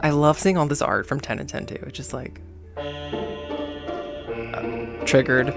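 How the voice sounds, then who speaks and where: A man's voice: fairly high pitch, steady tone, slow, creaky. A man giving casual fan reaction commentary as a video host, chatty, exclamatory and emphatic. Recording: music behind the voice.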